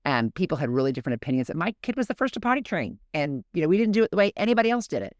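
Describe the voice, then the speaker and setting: A woman's voice: low voice, sing-song, racing, dry recording, studio quality. A woman and mother co-hosting a podcast in casual chat, frank and blunt, laughing easily. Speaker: podcast co-host.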